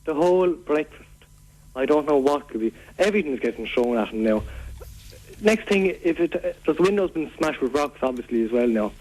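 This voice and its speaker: A man's voice: a little high, expressive, a little fast, darkish tone, low-quality recording. A man with a County Waterford Irish accent playing an aggrieved, rambling local who earnestly complains as a caller on a radio phone-in. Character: aggrieved, rambling local man fed up with food-throwing youths. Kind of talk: prank call to a local radio show.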